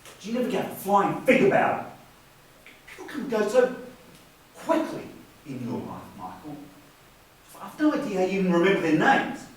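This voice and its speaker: A man playing a bitter, judgmental brother in a stage play, speaking haltingly and repeating words as he accuses. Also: high-pitched, huge pitch swings. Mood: resentful. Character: bitter, judgmental, grieving brother, stammering with resentment. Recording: very echoey hall, distant mic, faint hiss.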